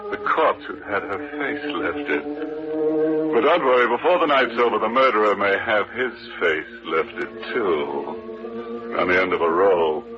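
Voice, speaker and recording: An actor playing a ghoulish horror show host, gleefully macabre, narrating in a teasing, sardonic 1940s radio manner. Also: male voice, lowish voice, a little slow, lightly acted. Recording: lo-fi vintage radio recording. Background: music.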